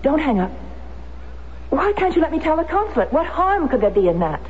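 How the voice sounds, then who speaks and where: An earnest, high-voiced war widow. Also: female voice, big pitch swings, fairly quick. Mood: flustered pleading. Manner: earnest, emotional, pleading. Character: determined, anxious American war widow on a quest. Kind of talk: radio drama acting. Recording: noisy, muffled old radio broadcast recording.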